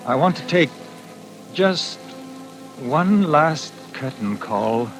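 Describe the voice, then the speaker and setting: A man's voice: a little high, expressive, slow, a bit bright, soft background music, poor audio. A man playing a deluded stage actor who believes he is a murderous strangler, theatrical and grandly courteous, in a 1940s radio thriller. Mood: weak, fading. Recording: hissy vintage 1940s radio recording.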